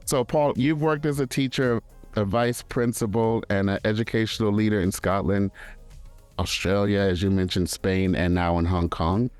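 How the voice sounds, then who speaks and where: A male podcast host asks friendly, structured questions. His voice is very deep, with faint music in the background. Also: steady tone, moderate pace, darkish tone.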